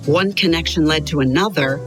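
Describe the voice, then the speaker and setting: A woman narrating a scripted children's biography in an expressive, clearly articulated storytelling style. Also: sits low, a little fast, music in the background, poor audio.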